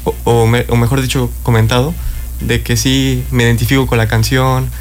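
A man's voice: mid-range voice, little pitch movement, normal speed, faint music behind. A young man, a band member answering interview questions with many hesitations and restarts. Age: 20s.

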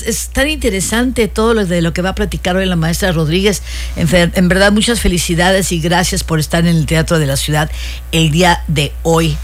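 A talk show host, effusive and enthusiastic, introducing guests and promoting local cultural events. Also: female voice, on the low side.

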